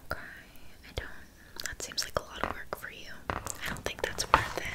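A woman playing a flaky, passive-aggressive witch in an ASMR roleplay, speaking in a soft, breathy whisper with casual, deadpan patter. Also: normal speed, close to an everyday voice. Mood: casually unbothered.

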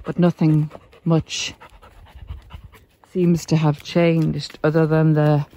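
A woman's voice: sits low, little pitch movement, slow, mellow, slight background noise. A middle-aged woman giving an emphatic, encouraging motivational talk to viewers, informally, like a coach out on a walk. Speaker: coach and vlogger. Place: outdoors on a hillside walking trail.

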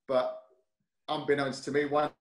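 A man's voice: fairly high pitch, level tone, unhurried, soft background music. London man in a roomy echo. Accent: London English. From North London, UK. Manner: hesitant, many fillers and restarts, easygoing. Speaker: guest. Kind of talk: casual interview chat.

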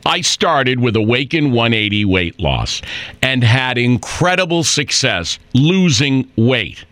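A man's voice: mid-range voice, a bit bright, dry recording. A man giving a customer testimonial in a radio ad, talking in a conversational, persuasive way.

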